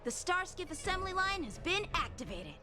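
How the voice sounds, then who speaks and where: A cheerful young lad from a video game. The voice is high and very animated, with an eager, youthful bounce. Music runs behind it. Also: female voice. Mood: excited, proud. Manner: brisk, excitable, youthful. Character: eager, cheerful young boy, bright and helpful. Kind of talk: English-dubbed video game acting.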